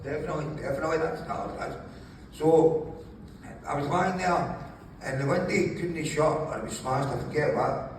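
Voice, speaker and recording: Gravelly man, animated interview guest, higher-pitched, recorded distantly in a very echoey room. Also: normal speed. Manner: sweary, jokey, apologises for swearing. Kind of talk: animated casual storytelling. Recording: very echoey room, distant mic, faint noise.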